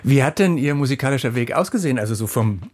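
A man with a slightly husky voice asks polite, well-prepared questions as an interviewer. His pitch sits in the middle of the range. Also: expressive, fast.

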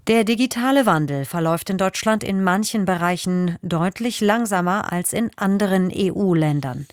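A woman reading the news on the radio in a measured, formal way. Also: medium pitch, dry recording, clean studio sound. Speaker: newsreader. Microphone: studio microphone.

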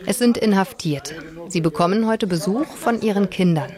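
A woman's voice: medium pitch, lively intonation, moderate pace, a crowd in the background. A woman working as a radio reporter, giving scripted reportage and asking interview questions.

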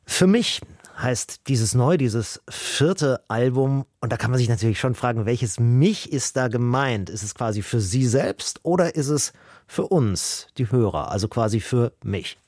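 A man's voice: mid-range voice, animated, measured pace, darkish tone, no room sound, studio quality. A man hosting a radio music interview, talking conversationally and thinking aloud as he shares his opinions. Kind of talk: radio interview with spoken introduction.

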